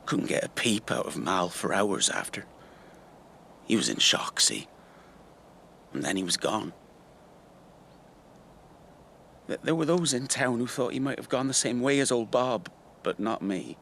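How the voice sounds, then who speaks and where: An actor playing a rueful rural man in a naturalistic radio drama, telling his memories in a halting, conversational way. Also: on the low side, sing-song, creaky, bright, forceful tone, a little hiss or hum, exaggerated voice. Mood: sombre. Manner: halting, reflective, conversational storytelling. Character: rueful rural man recalling an old friend's tragedy.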